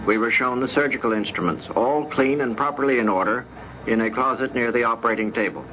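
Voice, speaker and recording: A man with a medium-pitched voice delivers a formal, descriptive account. The recording is an old radio broadcast, dull in tone with faint background noise. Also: fast talker.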